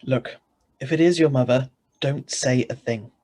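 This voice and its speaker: A man as a wry, husky, stubborn husband. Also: mid-range voice, a little slow, darkish tone, natural voice. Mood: hushed, hurried. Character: stubborn, greedy ordinary husband, wry and brisk. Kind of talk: radio thriller narration and dialogue.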